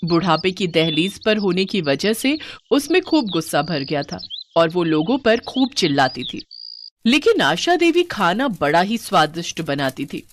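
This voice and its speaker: A woman's voice: lowish voice, big pitch swings, measured pace, noisy background. A woman narrating a scripted moral story as a storyteller.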